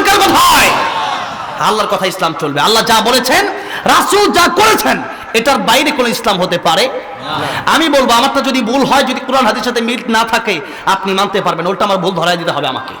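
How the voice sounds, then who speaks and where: A man with a Bangladeshi accent preaching an Islamic sermon, forcefully exhorting listeners with repeated rhetorical questions. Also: very high-pitched, sing-song, a little fast, crowd noise. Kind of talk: impassioned waz sermon with call-and-response questions and Arabic recitation. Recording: echoing PA with long reverb.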